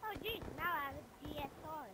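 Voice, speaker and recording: A woman's voice: sits high, a little fast, heavy background noise. A female-sounding, childish voice chatting casually and exclaiming during an online game, as if through a voice changer. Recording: game voice chat over loud music, gunfire.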